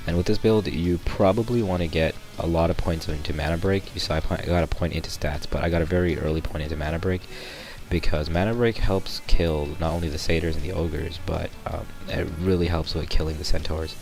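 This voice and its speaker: A sick young man with a raspy voice, rambling through a casual gameplay tutorial voice-over with lots of ums. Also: a bass voice, fairly even intonation, a little fast. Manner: rambling, many ums and restarts. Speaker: gameplay commentator. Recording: voice-over with loud background music.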